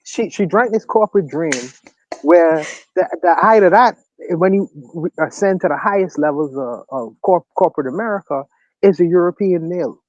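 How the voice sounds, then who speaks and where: A man with a Bahamian accent, hosting a casual livestream discussion and asking provocative questions in an animated way. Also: sits high, normal speed, no room sound. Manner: animated, provocative questions, laughs at own points. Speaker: podcast host. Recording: dry, dull lo-fi mic.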